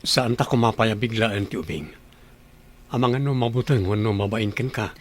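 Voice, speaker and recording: A man's voice: fairly low pitch, moderate pace, natural voice. A man playing a ranch-owning father who worries about his young son, earnest and paternal. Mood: worried. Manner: earnest and pleading, warmly paternal. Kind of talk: radio drama acting.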